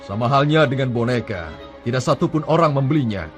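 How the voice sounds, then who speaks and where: A scheming cartoon chieftain, voiced by a man in a middle register, sly and calculating as he plots. His words are slow and wheedling, with clear music underneath. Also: medium pitch, lively intonation, natural voice. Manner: sly, plotting, ends with an evil laugh. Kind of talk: dubbed cartoon acting.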